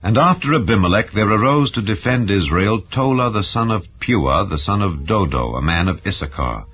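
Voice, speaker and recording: A man reading scripture as a solemn, measured narrator in a dramatized style. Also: fairly low pitch, expressive, slightly rough, soft background music. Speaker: narrator of a dramatized audio Bible. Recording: dull, muffled lo-fi sound.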